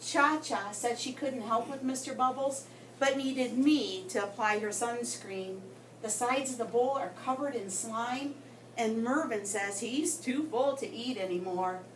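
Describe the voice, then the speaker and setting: Woman reading aloud, roomy distant recording. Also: fairly high pitch. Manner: expressive, with dramatic pauses and emphasis. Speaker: guest story reader for children. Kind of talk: read-aloud storytelling to children. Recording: roomy, distant mic with background noise.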